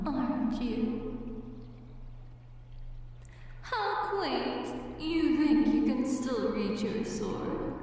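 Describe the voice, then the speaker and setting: A woman's voice: a little high, warm tone. A woman playing a predatory, possessive dungeon boss monster in an ASMR roleplay, speaking in teasing, condescending, formal old-fashioned diction with a cave echo effect. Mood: teasing, amused. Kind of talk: ASMR audio roleplay, theatrical archaic speech. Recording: echoing cave reverb, distant, faint music.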